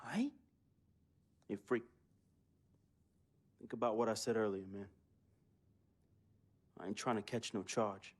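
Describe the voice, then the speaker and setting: Game drama: laid-back street-smart buddy, slangy, unhurried, lowish voice. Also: male voice, fairly even intonation. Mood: conciliatory. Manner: slangy, casual. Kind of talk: dramatic video game cutscene acting.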